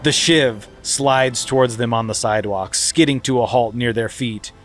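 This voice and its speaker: A man's voice: medium pitch, moderate pace, fairly bright tone, soft background music. A man hosting a podcast, reading a screenplay aloud in a mock-dramatic comedic way. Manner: mock-dramatic, breaking into laughter. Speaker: podcast host.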